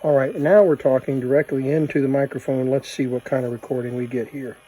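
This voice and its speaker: A man's voice: medium pitch, fairly even intonation, a little fast, warm tone, slight background noise, poor audio. Casual man demonstrating, thinking aloud. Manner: unscripted, thinking aloud while working. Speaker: vintage tape recorder hobbyist, presenter.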